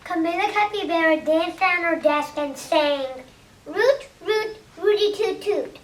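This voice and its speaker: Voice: very high voice, measured pace. A young child giving a dramatic reading of a script in a school reader's theater. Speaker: student reader playing characters in reader's theater. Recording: roomy distant classroom recording with faint noise.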